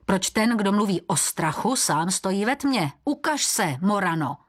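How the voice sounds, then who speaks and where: A woman's voice: mid-range voice, sing-song. A woman voicing a steadfast, challenging guardian of myths, a male figure in a storybook. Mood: defiant. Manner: eager, defiant.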